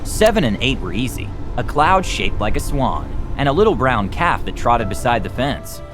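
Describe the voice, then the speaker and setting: A man reads a children's story as narrator, with a very lively, expressive melody to his mid-pitched voice. Music plays clearly behind the reading. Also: moderate pace. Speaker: storytime reader, music club teacher.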